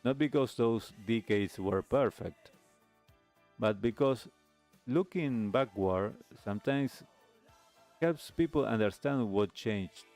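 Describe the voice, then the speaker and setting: A man with a fairly low voice hosts a reflective radio segment. He speaks very slowly and deliberately, leaving pauses between phrases. Faint music plays behind him. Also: a little mellow. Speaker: radio show host. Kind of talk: reflective talk show monologue.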